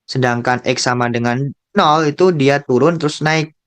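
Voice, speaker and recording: A man with an Indonesian accent tutoring calculus, working slowly through a graph problem, repeating key terms and thinking aloud. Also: medium pitch, smooth. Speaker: math tutor.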